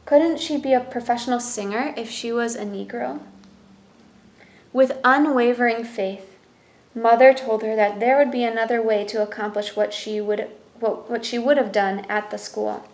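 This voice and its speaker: A woman's voice: on the high side, moderate pace, darkish tone. Woman reading a storybook in an echoey room. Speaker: storyteller reading a picture book aloud. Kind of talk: read-aloud children's story narration with brief explanatory asides.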